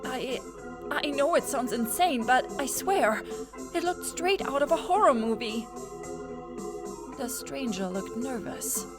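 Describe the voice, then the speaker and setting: A woman tells a suspenseful visual novel story in the first person, in character as a student. Her voice sits fairly high and she takes her time. Loud music plays beneath the atmospheric narration. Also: expressive, takes it slowly. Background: prominent background music.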